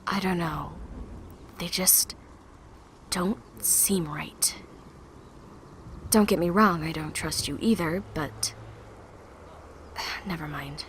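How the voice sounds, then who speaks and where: A woman playing a withdrawn, uneasy young survivor in an apocalypse audio drama, speaking in a hesitant, hushed way. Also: fairly low pitch, takes it slowly, pauses often. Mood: uneasy, suspicious. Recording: clearly noisy amateur recording with ambience.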